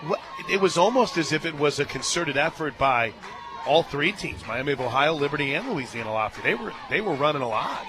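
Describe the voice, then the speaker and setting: An American man calling live softball on the radio as play-by-play announcer, with folksy, joking banter. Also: fairly high pitch, expressive, brisk. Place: stadium radio booth.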